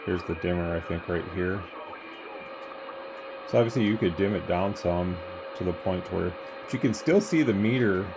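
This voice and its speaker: Male reviewer, low register, informal rambling demo style, home phone recording with faint noise behind. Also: normal speed. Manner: rambling, hands-on explaining. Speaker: radio equipment reviewer. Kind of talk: casual product demonstration. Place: home room with radio test equipment. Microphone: phone camera.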